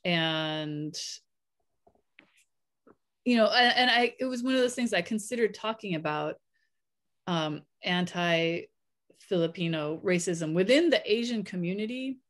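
A woman in her forties speaking on a video call as a panelist author, thoughtful and halting with many fillers. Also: on the low side, lively intonation, fairly bright tone. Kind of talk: casual panel discussion. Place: home room, video call. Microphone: webcam on video call.

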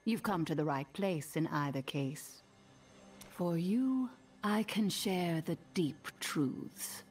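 A woman's voice: fairly low pitch, takes it slowly. A woman playing a welcoming, proud archivist in a fantasy game, assured and inviting. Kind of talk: fantasy video game character acting.

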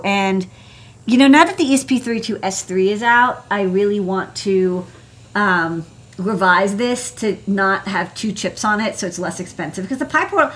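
A woman's voice: mid-range voice, a bit bright. Female American engineer for a casual tech stream, chatty and informal, wandering into asides as she explains. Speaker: electronics engineer presenting her work. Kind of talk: casual livestream tech talk. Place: indoor desk workspace.

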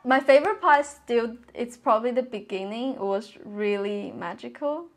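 A young adult woman giving casual, excited reaction commentary in an expressive, exclaiming way, recorded on a lapel mic in a bedroom. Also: fairly high pitch, moderate pace, a little hiss or hum. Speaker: reaction video host. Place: small bedroom, indoors. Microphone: clip-on lapel mic.

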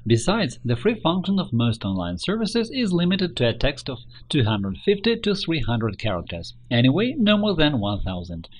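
A man narrates a scripted how-to tutorial as its presenter. His pitch moves up and down in a very animated way as he explains each step. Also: moderate pace, hardly any pauses, a little hiss or hum.

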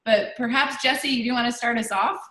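A woman's voice: a little high, fairly even intonation, a little fast, pushed and bright. A woman from Southern California talking casually and reflectively in a group discussion over a video call from an indoor room. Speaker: discussion participant. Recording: roomy echo on video call.

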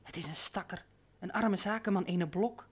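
An actress playing a familiar, possessive housemaid with a cajoling, intimate manner in a radio drama. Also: female voice, on the low side, normal speed, slightly rough. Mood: coaxing.

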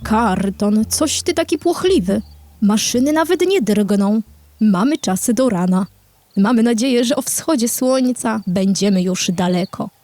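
A woman's voice: mid-range voice, lively intonation, unhurried, mellow, no room sound, soft background music, lightly acted. A woman voicing an emphatic, take-charge talking piece of rubbish who scolds and reassures in a children's audio drama. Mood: reassuring.

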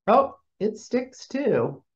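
Slow, gentle woman chaplain on webcam. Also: fairly high pitch, sing-song. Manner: gentle, playful, slow and clear like talking to children. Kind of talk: children's chapel talk with object lesson. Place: small office at a desk. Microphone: webcam video call. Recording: slightly dull lo-fi webcam audio.

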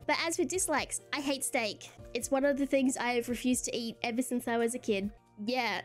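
A young woman with an Australian accent, chatting bubbly and giggly through her own self-introduction slideshow as a streamer. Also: a little high. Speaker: VTuber streamer. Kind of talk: casual self-introduction presentation.

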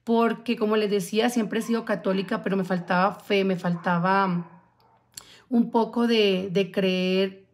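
A woman's voice: medium pitch, fairly even intonation, unhurried, a little hiss or hum. A woman in her forties chatting casually on a live stream from a small room at home, answering viewer comments between her thoughts. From Colombia. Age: 40s. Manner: chatty, answers viewer comments as she talks, many fillers. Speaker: vlogger. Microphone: phone camera close up.

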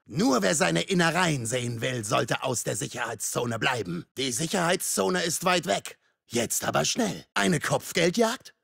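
Gravelly, menacing masked slasher. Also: male voice, a little high, a bit bright, no echo, clean studio sound, heavily acted. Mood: playful menace. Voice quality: gravelly, husky. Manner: mocking, menacing, drawn-out taunts. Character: masked slasher killer, male, taunting, menacing and sarcastic. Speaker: video game character. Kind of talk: game combat voice lines in German dub.

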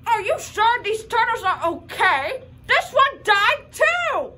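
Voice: extremely high pitch, sing-song, slow, bright, forceful tone, exaggerated voice. A young performer voicing a weepy, gushing sock puppet shopper in a children's comedy skit, with theatrical exclamations. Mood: upset, wailing.

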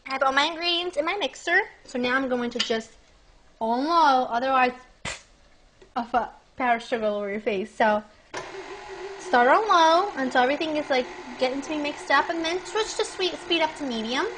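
Young woman on a lapel mic doing a cooking demo at home, high bright voice, chatty, faint hiss behind. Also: animated, normal speed, low-quality recording. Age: young adult. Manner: bubbly, chatty, addresses viewers directly. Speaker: cooking show host. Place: home kitchen, indoors.

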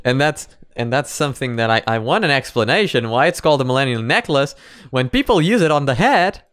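A man's voice: mid-range voice, animated, fairly quick, fairly bright tone, clear, resonant tone, no room sound. A man in his twenties hosting a reaction video with casual, amused commentary into a close studio microphone. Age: 20s. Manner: amused, breaks into laughter often. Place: small home room. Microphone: close studio mic on boom arm.

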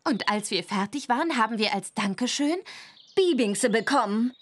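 A woman's voice: on the high side, lively intonation, normal speed. A woman voicing a friendly, chatty young witch girl in a German children's computer game.

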